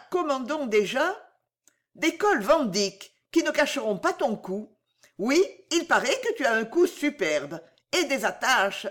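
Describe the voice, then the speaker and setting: A woman's voice: high-pitched, voice pushed up, full character voice. A woman voicing a playful, coquettish young woman who teases with an ingenuous, familiar manner in a storybook reading. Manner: teasing, insistent, familiar.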